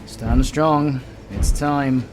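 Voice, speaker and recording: A man's voice: medium pitch, fairly even intonation, extremely slow, noisy background. A man with a British accent playing a stern, sardonic demon hunter who mentors his apprentice in a fantasy audio roleplay, dry and commanding. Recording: music behind the voice. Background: music.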